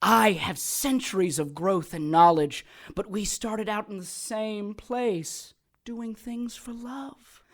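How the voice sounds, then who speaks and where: An actress playing a sadistic, cynical immortal villainess in an audio drama, taunting in a deliberate, theatrical way. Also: female voice, medium pitch. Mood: smug, philosophical. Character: gleeful immortal female villain who controls corpses, sadistic and cynical.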